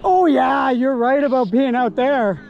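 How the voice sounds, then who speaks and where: A young man fly fishing outdoors while wading in a river, excitedly commenting on each catch into a body-worn action camera. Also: very high-pitched, measured pace, creaky, lo-fi sound, a little hiss or hum. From Canada. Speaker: fly-fishing angler and host. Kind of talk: casual outdoor vlog commentary.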